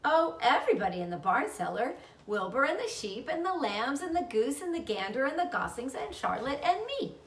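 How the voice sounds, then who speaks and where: A woman's voice: high-pitched, big pitch swings, moderate pace, roomy sound, distant mic, voice pushed up. A woman voicing a chatty, earnest young girl in a storybook, speaking eagerly and matter-of-factly.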